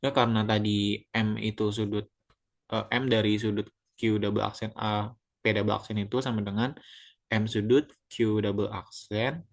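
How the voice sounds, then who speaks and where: A young man from Indonesia walks his class through a geometry proof. His voice sits a little low, and he talks haltingly, stopping on fillers and correcting himself as he goes. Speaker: student presenter.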